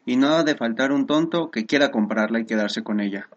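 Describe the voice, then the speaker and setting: A woman's voice: rumbling low, a little fast, dull audio. A woman playing a practical, bossy father in a radio soap, giving instructions matter-of-factly. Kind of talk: Spanish-language radio soap acting.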